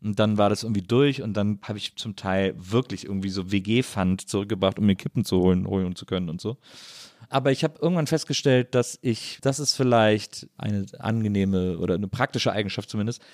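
A man's voice: lowish voice, brisk, darkish tone, no room sound, studio quality. A man hosting a podcast in a casual chat, talking colloquially and rambling along. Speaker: podcast host.

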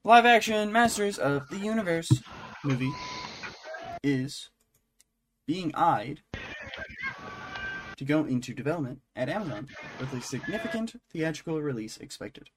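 A man's voice: on the high side, big pitch swings, a little slow, pauses often, background noise. A man co-hosting a podcast, chatting casually and irreverently about entertainment news, swearing freely. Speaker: podcast co-host.

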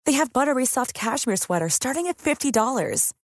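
A woman reads an ad with lively, bouncing intonation, recorded close and dry. She sounds polished and persuasive. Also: high voice, fairly quick, dry recording. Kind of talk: scripted advertisement.

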